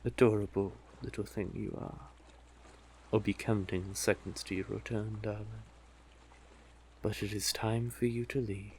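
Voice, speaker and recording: A man's voice: on the low side, little pitch movement, a little hiss or hum. A man voicing a doting, possessive, manipulative male sea witch in an intimate audio roleplay, coaxing the listener tenderly. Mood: doting, teasing. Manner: coaxing, unhurried, tender with pet names.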